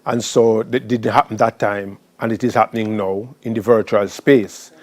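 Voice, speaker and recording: A man hosting a poetry festival, introducing guests in florid, rhetorical, deliberate phrases. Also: on the low side, steady tone. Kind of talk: spoken introductions at a literary event.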